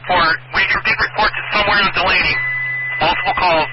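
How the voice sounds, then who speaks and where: A man's voice: sits high, fairly even intonation, fast. A man working as a police dispatcher, giving brief replies over a two-way radio. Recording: very noisy, dull police scanner.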